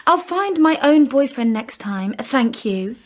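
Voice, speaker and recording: An actress playing a sensible, teasing young woman in a radio drama, brisk and affectionate. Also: sits high, expressive, heavily acted. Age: young adult.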